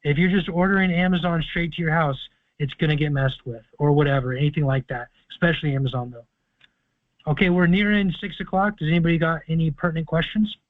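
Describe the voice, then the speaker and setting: Male call-in host, higher voice, dry phone-line sound, confident long explanations. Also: fairly even intonation, quick pace, dry recording. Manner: confident, explaining at length with fillers. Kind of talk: casual call-in advice talk. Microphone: phone or conference call. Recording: tinny conference phone line.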